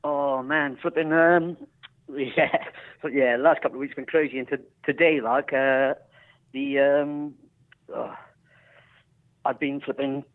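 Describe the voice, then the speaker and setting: A Welsh man with a slight northern English twang calling in to a radio show, halting and exasperated, full of fillers. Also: mid-range voice, level tone. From Wales. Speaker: caller. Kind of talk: phone-in chat. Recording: tinny phone line.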